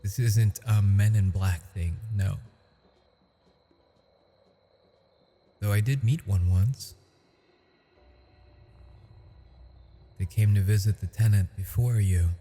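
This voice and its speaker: A man voicing a gentle, teasing male ghost who speaks softly and intimately in an ASMR audio roleplay, chuckling often. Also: on the low side, fairly even intonation, normal speed, a little hiss or hum, lightly acted. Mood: wondering.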